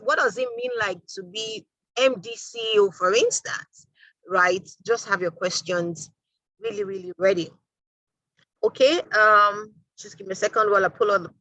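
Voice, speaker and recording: Lady hosting, bright upbeat voice, dry close sound. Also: mid-range voice, no room sound, rough recording. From Nigeria. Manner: upbeat, lively hosting with fillers. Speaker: host. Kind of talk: live online interview.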